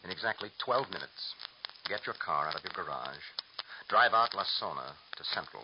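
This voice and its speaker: An actor playing a calm, confident male defense attorney in an old radio drama, giving crisp instructions. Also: deep voice, normal speed. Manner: crisp, commanding, gives precise instructions. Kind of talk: 1940s radio acting. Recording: dull old radio recording with loud hiss.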